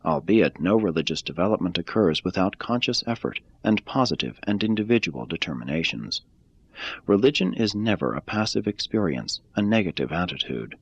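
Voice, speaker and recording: A man reading a religious text aloud in a measured, deliberate way. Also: low voice, sing-song, breathy edge, dry recording, lo-fi sound. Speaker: reader.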